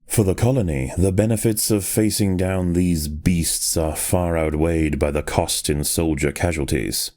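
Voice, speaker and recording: A man narrating in a deliberate, solemn nature-documentary style for a game. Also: low-pitched, fairly even intonation, darkish tone. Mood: measured, grave. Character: grave, measured male nature-documentary narrator.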